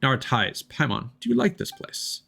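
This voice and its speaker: A man voicing a wise, gentle old forest spirit guardian from a video game, with formal, measured speech. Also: medium pitch, very animated, creaky, slightly rough, full character voice. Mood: courteous.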